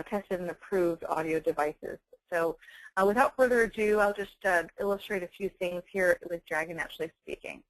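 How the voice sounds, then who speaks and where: A woman giving a webinar software demonstration, enunciating clearly as a presenter. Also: on the low side, no room sound, rough recording. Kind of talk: webinar product demonstration with dictation. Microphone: close handheld speech mic.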